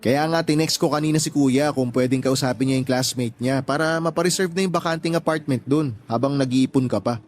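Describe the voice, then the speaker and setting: A man playing a calm, supportive young boyfriend in a Tagalog radio drama, speaking in a reassuring, conversational way. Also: mid-range voice, fairly quick, few pauses, soft background music, close to an everyday voice. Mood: helpful.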